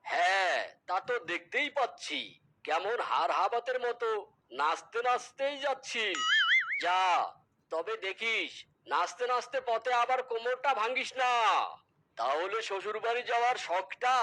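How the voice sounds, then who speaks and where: A man's voice: very high voice, animated, measured pace, pauses often, bright, forceful tone, exaggerated voice, rough recording. A man voicing a teasing, blustery tiger uncle in a cartoon, mocking as he talks. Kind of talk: cartoon voice acting.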